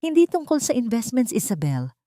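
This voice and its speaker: A woman voicing a betrayed wealthy husband in an audio story, calm, stern and authoritative. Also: fairly high pitch, fairly quick, dry recording, studio quality, pitched above the performer's own voice. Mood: controlled.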